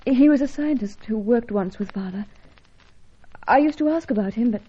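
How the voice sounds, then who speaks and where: Soft, husky, fearful young woman. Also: on the high side, normal speed. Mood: uneasy, forlorn. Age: young adult. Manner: earnest, tremulous, polite. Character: gentle, frightened, helpless young English woman, orphaned. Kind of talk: old-time radio adventure serial acting. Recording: dull, lo-fi radio serial recording.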